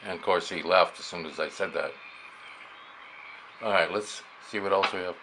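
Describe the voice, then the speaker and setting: A man casually demonstrating amateur radio gear, haltingly thinking aloud as he works the controls. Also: sits low. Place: beside operating radio equipment. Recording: noisy recording with clear background hiss.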